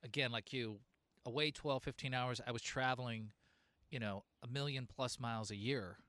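A man's voice: mid-range voice, steady tone, normal speed, pushed and bright. A man hosting an interview talks in a loose, rambling way and often restarts his sentences. His voice sits in the middle range. The sound is dry, with no room echo. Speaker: interview host. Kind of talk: casual interview chat.

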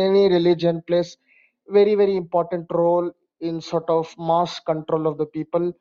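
A man with an Indian accent leaves a voicemail message in a measured way. His tone is dark and mellow. The recording is dull and lo-fi. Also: sits high. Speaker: caller leaving a voicemail. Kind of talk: personal message, measured commentary.

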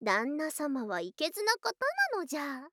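High-pitched haughty snake-spirit girl. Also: big pitch swings, normal speed, fairly bright tone, dry recording, clean studio sound. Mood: teasing, bashful. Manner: archaic haughty old-fashioned speech, theatrical. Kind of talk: Japanese ASMR situation voice drama.

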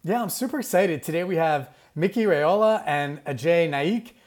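A man's voice: fairly high pitch, moderate pace, noisy background. A man co-hosting a tech podcast, chatty and enthusiastic. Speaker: podcast co-host. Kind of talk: casual podcast chat.

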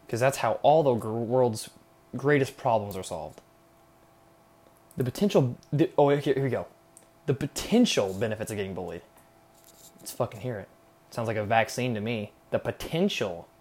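A man's voice: mid-range voice, darkish tone. A young man hosting a solo podcast, rambling casually and irreverently with lots of slang, sarcasm and swearing. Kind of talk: casual unscripted rambling monologue.

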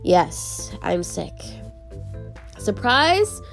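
A woman's voice: mid-range voice, big pitch swings, very slow, gravelly, soft background music. A woman hosting her own podcast in casual, bubbly chat, sounding congested and nasal from a cold. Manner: bubbly and chatty, laughs often. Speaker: podcast host.

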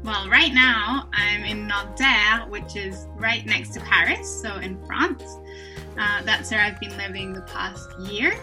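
A woman's voice: medium pitch, measured pace, over music, low-quality recording. A woman in her twenties from Peru, a guest on an online podcast, telling her story in a friendly way and laughing often. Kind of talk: casual interview storytelling. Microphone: remote online recording.

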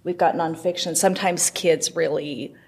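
A woman's voice: sits low, fairly even intonation, moderate pace, darkish tone, a little hiss or hum. A woman guest explaining library items in a casual, enthusiastic interview style.

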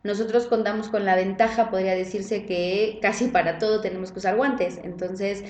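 A woman gynecologist talks her listeners through clinic routines in a friendly, explanatory way. Her voice sits at a middle pitch, and the recording has a roomy echo around it. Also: fairly even intonation, normal speed, a little mellow. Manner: friendly explanatory, tag questions. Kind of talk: casual podcast conversation. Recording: roomy sound.

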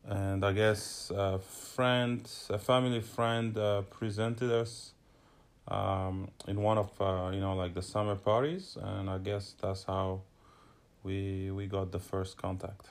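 Hesitant gravelly man from Togo. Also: low-pitched, level tone, slow. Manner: hesitant, many fillers. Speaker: interviewee, husband and father. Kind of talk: casual chat.

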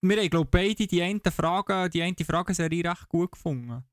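A man's voice: sits high, normal speed, dry recording, studio quality. A man from the Bernese Oberland with a Bernese Swiss German accent, chatting casually as a podcast guest and laughing often. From Bernese Oberland, Switzerland.

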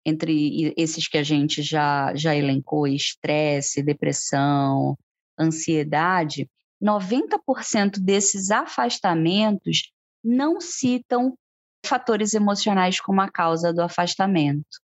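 A woman with a Brazilian Portuguese accent co-hosts a podcast in a conversational, explanatory way, often turning questions to her partner. Her voice sits somewhat low. The recording is dry and slightly dull. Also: takes it slowly, dry recording. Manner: explanatory, asks co-host questions. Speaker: podcast co-host.

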